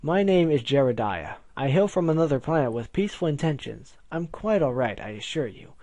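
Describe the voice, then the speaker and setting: A man's voice: on the high side, sing-song, a little fast, mellow, dry recording. Courteous, bookish alien visitor for a story, male, earnest and formal , played by a male reader. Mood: earnest, calm.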